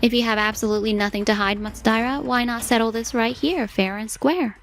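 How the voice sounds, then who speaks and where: A woman voicing a bold, sharp-witted character in a manga dub, mock-polite and challenging. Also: fairly high pitch, a little fast, natural voice. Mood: cool challenge. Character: bold, teasing, sharp-witted young woman exposing a liar. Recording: music clearly behind voice.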